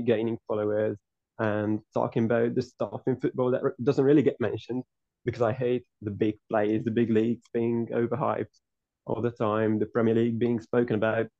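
Gravelly man, dull sound. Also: on the low side, a little mellow, no echo. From Hungary. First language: Hungarian. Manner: earnest, long winding answers with many restarts. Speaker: podcast guest, football writer. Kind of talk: casual interview answers.

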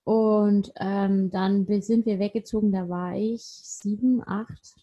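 A young woman from Saxony-Anhalt chatting casually and telling stories in a measured way. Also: medium pitch, fairly even intonation, mellow, highs cut off. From Saxony-Anhalt, Germany. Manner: measured, storytelling. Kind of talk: casual chat.

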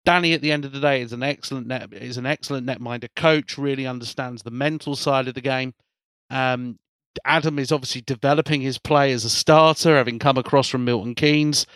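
A man's voice: medium pitch, steady tone, fairly quick, fairly bright tone, clean tone, no echo. A man hosting a podcast in casual chat, rambling and often repeating words as he restarts his sentences. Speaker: podcast host.

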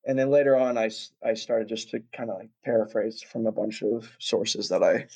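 A man's voice: fairly low pitch, fairly even intonation, normal speed, darkish tone, breathy edge. A young man from Russia, a guest chatting casually in an interview, rambling with lots of fillers and restarts.

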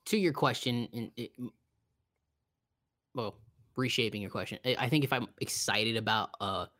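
Low-key man in casual chat, dry sound. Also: moderate pace, bright, forceful tone, no room sound. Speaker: podcast co-host. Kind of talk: casual conversational film and game chat.

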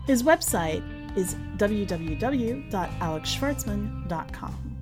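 A woman narrates fiction in an expressive, scripted style. Her medium-pitched voice moves in lively, wide swings. She places emphasis deliberately, with a wry storyteller's touch. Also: over music. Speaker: podcast host and story narrator.

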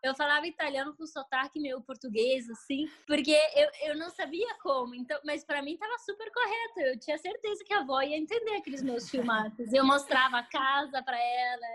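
A woman's voice: high voice, brisk, hardly any pauses, a bit bright. A young woman chatting casually, remembering growing up abroad as the daughter. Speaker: guest, daughter. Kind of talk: casual chat.

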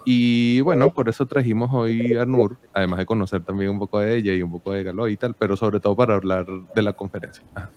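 Man, host, lowish voice, talkative, winding questions. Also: fairly even intonation, quick pace, darkish tone. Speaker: interviewer, host. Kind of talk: casual interview.

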